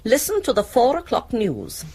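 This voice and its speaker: A prim middle-aged housewife in a radio drama. Her husky voice swings widely in pitch. She is formal, fastidious and opinionated, placing her words with care. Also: wildly swooping pitch, dark tone, heavily acted. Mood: recollecting.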